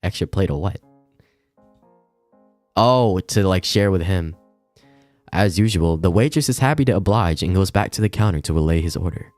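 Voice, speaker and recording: Deep-voiced man streaming a game read-along, music behind. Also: moderate pace, pauses often, darkish tone. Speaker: streamer reading a visual novel aloud. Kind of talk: casual livestream read-along with reactions. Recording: roomy echo, music behind.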